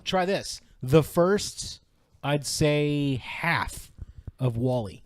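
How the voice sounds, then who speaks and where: Man, high voice, very lively intonation, playful emphatic banter, dry recording. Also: extremely slow. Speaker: podcast co-host. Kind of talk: casual banter.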